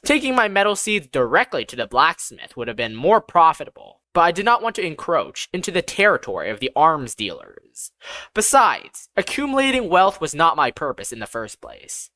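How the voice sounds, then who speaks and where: A woman's voice: low voice, sing-song, normal speed, a bit bright, dry recording. A woman reading a scripted first-person fantasy audiobook as its narrator.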